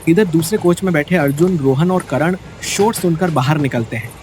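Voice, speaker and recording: High-pitched man narrating a dramatic story. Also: normal speed. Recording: music bed behind the voice. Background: background music.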